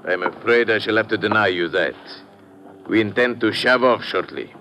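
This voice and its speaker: An actor playing a suave, menacing villain in a 1950s radio drama, speaking with formal, cool politeness and a threat underneath. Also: male voice, lowish voice, moderate pace, pushed and bright. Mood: coolly polite. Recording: noisy vintage radio recording.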